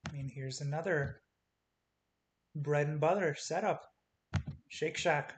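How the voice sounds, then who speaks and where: A man's voice: fairly high pitch, slow. Man losing his voice over charts. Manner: offhand, clipped chart calls with fillers. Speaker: stock trader walking through charts. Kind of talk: casual screen-share chart commentary. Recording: faint hiss.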